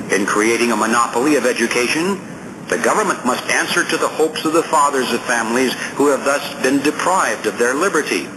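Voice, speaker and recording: A man reading nonfiction as an audiobook narrator, in a formal, measured and emphatic way with deliberate phrasing. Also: medium pitch, background noise.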